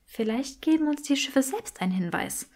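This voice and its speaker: A brainy young witch in a fantasy audiobook, voiced by a woman reader. She speaks high, in a precise and matter-of-fact way, choosing her words articulately. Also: animated, normal speed, a little mellow. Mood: matter-of-fact, tired.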